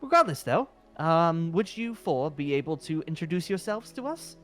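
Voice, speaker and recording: A man's voice: fairly high pitch, sing-song, normal speed, fairly bright tone, faint music behind. A man playing a polite, flustered, centuries-old dragon slayer guild leader in an improvised fantasy roleplay, hesitant and apologetic.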